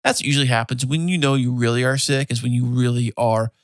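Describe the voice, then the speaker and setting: A man's voice: mid-range voice, steady tone, fast talker, no room sound, studio quality. A man co-hosting a podcast in casual chat, easygoing and playful, teasing his partner. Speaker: podcast co-host.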